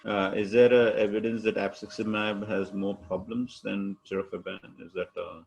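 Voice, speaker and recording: A man co-hosting an online panel over a video call, speaking haltingly with many fillers. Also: lowish voice, level tone, vocal fry, darkish tone, a little hiss or hum. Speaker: co-host. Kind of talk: moderating an online session.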